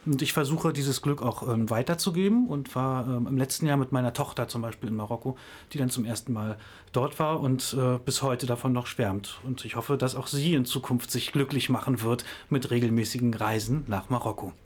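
A middle-aged man answers interview questions, pausing often on filler sounds as he works out his thoughts. His voice sits at an ordinary middle pitch. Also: husky. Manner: many filler sounds and restarts. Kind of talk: hesitant casual explanation.

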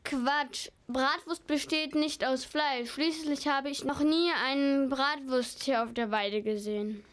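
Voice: sits high, unhurried, fairly bright tone. A young female performer playing a stubborn, loyal child in an amateur school radio play. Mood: indignant.

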